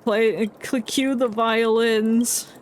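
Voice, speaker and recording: A woman's voice: fairly high pitch, steady tone, very slow. A woman streaming a video game with casual, reactive commentary and playful, mock-earnest asides.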